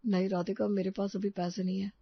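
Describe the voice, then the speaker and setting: Woman acting a creaky, timid young male student. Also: mid-range voice, level tone, highs cut off. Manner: timid, halting. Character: meek, downhearted young male college student.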